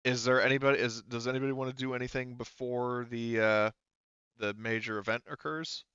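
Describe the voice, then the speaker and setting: A man acting as game master in casual, improvised talk, halting as he thinks aloud. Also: mid-range voice, fairly even intonation, a bit bright, smooth, dry recording, muffled recording. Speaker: game master of a tabletop roleplaying game.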